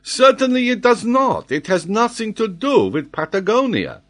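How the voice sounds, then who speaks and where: A man voicing an excitable, triumphant French geographer who argues emphatically and draws out his key words. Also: high-pitched, moderate pace, pitched above the performer's own voice. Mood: emphatic, triumphant.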